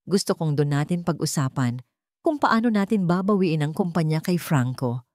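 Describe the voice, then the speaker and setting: A woman's voice: deep voice, lively intonation, normal speed, darkish tone, no echo, clean studio sound. A woman voicing a proud, remorseful old tycoon father in a story narration, hopeful and determined.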